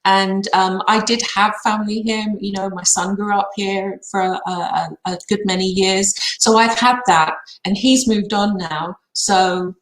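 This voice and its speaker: Middle aged lady just chatting about her life, normal pitch, pretty echoey room sound. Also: steady tone, measured pace, few pauses. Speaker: guest having her cards read. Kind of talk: casual conversation about her own life. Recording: roomy, echoing sound.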